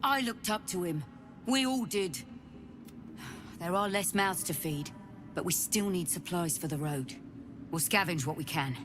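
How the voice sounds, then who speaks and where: An actress playing a blunt, weary refugee leader in a fantasy video game. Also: female voice, fairly low pitch, expressive, unhurried, long pauses, over music.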